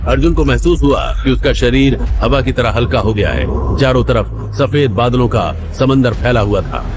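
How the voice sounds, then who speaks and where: A man's voice: medium pitch, animated, moderate pace, noisy background. A man narrating a dramatic audio story in a scripted storytelling style. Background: background music.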